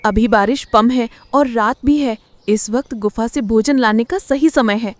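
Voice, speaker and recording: A woman's voice: on the high side, a little slow, a little mellow, no room sound, natural voice. A woman voicing a sly, selfish female fox in a cartoon fable, with a sarcastic, complaining manner. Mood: scheming. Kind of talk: Hindi cartoon fable dialogue.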